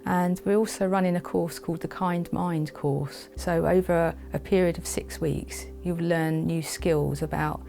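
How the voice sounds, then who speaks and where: Female charity advocate, explanatory, gravelly and dark, faint music behind. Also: fairly low pitch, fairly even intonation, measured pace, hardly any pauses. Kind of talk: explanatory talk.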